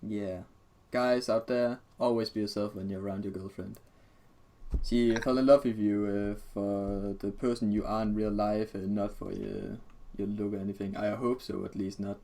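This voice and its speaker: A man's voice: fairly low pitch, flat delivery, normal speed, dark tone. A man chatting casually over a video game as co-host, with joking, teasing banter. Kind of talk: casual chat over gameplay. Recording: noisy home mic with keyboard clicks.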